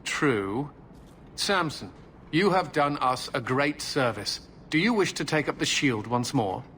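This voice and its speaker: A man's voice: medium pitch, lively intonation, a little slow, fairly bright tone. A man playing a stern, authoritative knight captain in a fantasy game, formal, skeptical and commanding. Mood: measured approval. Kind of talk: fantasy video game acting. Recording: clear ambient background noise.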